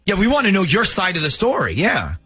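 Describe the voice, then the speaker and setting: A man's voice: high voice, huge pitch swings, a little fast, pushed and bright, breathy edge, no room sound, faint music behind. A man hosting a casual morning radio show, talking through a prank call.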